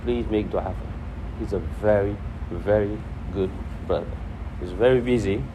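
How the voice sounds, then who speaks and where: Male religious teacher, low voice, conversational and repetitive for emphasis; noisy room with steady hum. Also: moderate pace. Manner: repeats phrases for emphasis, conversational. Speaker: preacher teaching hadith. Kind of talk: informal religious talk to a congregation, quoting Arabic.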